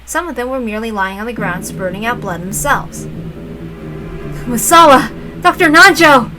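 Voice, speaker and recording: A woman's voice: medium pitch, fairly quick, low-quality recording. A woman reading a story aloud as dramatic scripted narration. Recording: loud music behind the voice.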